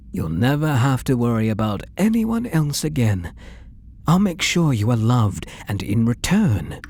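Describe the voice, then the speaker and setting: A man with a British accent playing an obsessive, possessive painter in an intimate ASMR roleplay, breathy and doting with an undertone of menace. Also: medium pitch, heavily acted. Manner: slow, breathy, doting, menacing murmur with soft whispers. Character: obsessive, possessive male painter doting on his captive muse.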